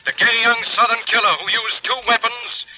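A man's voice: extremely high pitch, little pitch movement, normal speed, pushed and bright, slight background noise, low-quality recording. A man delivering a dramatic 1940s radio show opening on a vintage recording.

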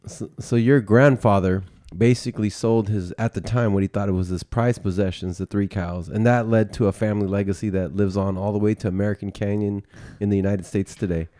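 A man's voice: on the low side, fairly even intonation, a little fast, few pauses, mellow, no room sound, studio quality. A man hosting a podcast interview, easygoing and encouraging as he reacts to his guest and shares his own stories. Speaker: podcast host. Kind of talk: casual interview chat.

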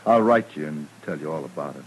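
A man's voice: extremely low pitch, animated, fast, slightly rough, exaggerated voice, low-quality recording. An American actor playing an eager, restless man after action in a 1950s radio western. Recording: hissy old radio recording.

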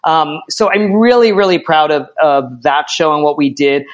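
An American man speaking thoughtfully as an interview guest, often checking in with a quick "right?". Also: a little high, fairly even intonation. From small town in Pennsylvania, United States. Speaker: interview guest, theatre artistic director. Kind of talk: conversational interview.

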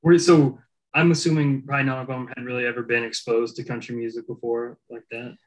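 A man's voice: medium pitch, level tone, brisk, slight background noise, low-quality recording. A man interviewing a guest, chatting casually and asking curious follow-up questions.

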